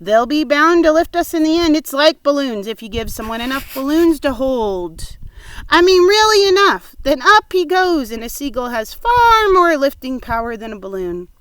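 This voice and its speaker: A woman's voice: high-pitched, normal speed, no echo, voice pushed up. Woman doing an eager young boy hero. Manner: excited, insistent explaining.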